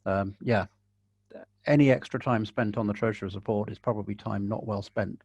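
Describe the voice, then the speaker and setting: A man giving calm, measured advice and answering questions in a video-call webinar, with a dry, wry way of talking. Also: lowish voice, husky, no echo. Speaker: guest conservationist.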